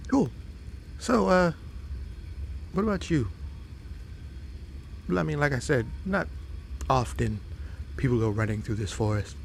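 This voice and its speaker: A man's voice: mid-range voice, gravelly, a little hiss or hum. A man playing a friendly, curious fox-boy monster in a roleplay audio drama, hesitant and apologetic as he addresses the listener. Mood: shy, friendly. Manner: hesitant, halting, apologetic, wide-eyed.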